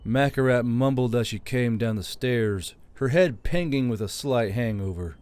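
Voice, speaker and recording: Mid-pitched male audiobook narrator. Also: moderate pace, a little mellow, clean tone. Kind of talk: scripted story narration.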